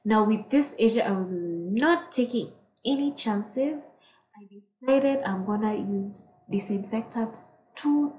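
Young woman, casual rambling voice-over, slow, echoey kitchen. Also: on the high side, a little mellow, slight background noise. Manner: rambling, repeats herself. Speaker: vlogger. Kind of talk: casual voice-over while cleaning.

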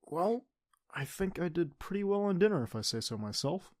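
A man playing an affectionate, playful teenage boyfriend in an intimate, soft-spoken ASMR roleplay. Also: sits high, big pitch swings, moderate pace, no echo, clean studio sound. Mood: playful, coaxing.

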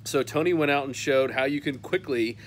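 A man's voice: mid-range voice, a little fast, slight background noise, poor audio. A man in his thirties hosting a fishing newsletter roundup, talking casually and upbeat to a phone camera on an outdoor patio, with many "uh" fillers. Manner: upbeat and chatty, lots of "uh" fillers.

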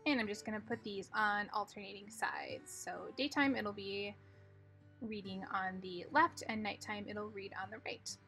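A woman calmly explaining each step as she narrates a card-making tutorial. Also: mid-range voice, moderate pace, gravelly, faint music behind. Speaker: craft tutorial host.

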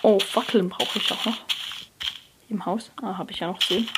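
A young person, likely a teen, giving hesitant gameplay commentary, often correcting themselves and dropping to a near whisper. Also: female voice, mid-range voice, steady tone, moderate pace. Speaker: let's player. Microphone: phone screen recorder mic. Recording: noisy lo-fi phone recording.

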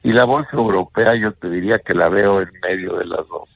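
An older man with a Mexican accent, a bank executive giving measured investment advice on a radio interview, often ending points with a questioning tag. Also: low-pitched, gravelly, slightly rough, no echo, narrow, tinny audio. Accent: Mexican Spanish.

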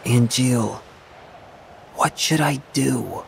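An actor playing an earnest, caring young soldier on the run in an English video game dub. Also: male voice, mid-range voice, drawn-out words, vocal fry, noisy background, full character voice. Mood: worried, weary.

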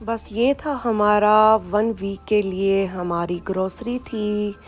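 A woman's voice: medium pitch, fairly even intonation, slow pace, faint music behind. A woman, chatting casually through a grocery run and explaining products and prices as she films on her phone. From Pakistan. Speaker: vlogger. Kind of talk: casual vlog narration. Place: supermarket, indoors.

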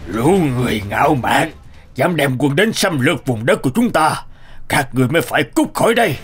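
A huge demon king barks out his commands with open scorn, as a man puts him on. The voice swings widely in pitch and sits a little high. A soft music bed runs under this cartoon folk tale. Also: very animated, brisk, slightly rough, heavily acted. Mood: outraged. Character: arrogant hulking male demon king.

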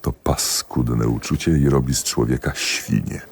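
Very deep-voiced, confiding jealous husband. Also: male voice, fairly even intonation, normal speed, husky, full character voice. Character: jealous husband, examining magistrate, confiding ruefully to a friend. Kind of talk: theatrical radio drama monologue.

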